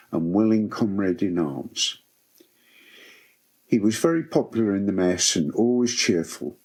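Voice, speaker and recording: A man reading formal condolence letters as a solemn British wartime dignitary, in a measured manner. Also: on the low side, husky, rough recording. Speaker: voice reader of letters.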